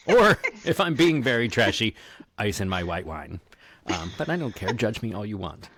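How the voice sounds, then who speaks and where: A man's voice: lowish voice, sing-song, normal speed, fairly bright tone. A man hosting a podcast in casual, rambling, self-deprecating comedic chat. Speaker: podcast host. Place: basement home studio near a heater. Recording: clear background noise, basement home studio.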